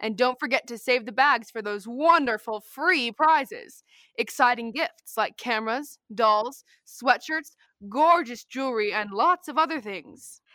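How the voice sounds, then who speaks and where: A woman's voice: a little high, measured pace, sharp tone, dry recording. A young woman playing a peppy old-time radio announcer who addresses children. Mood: salesy. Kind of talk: 1930s radio announcing and ad reading.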